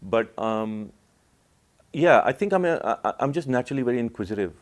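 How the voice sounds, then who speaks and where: A man's voice: mid-range voice, lively intonation, a little fast. A middle-aged man from Pakistan speaking as an artist being interviewed, reflective and hesitant as he searches for his words.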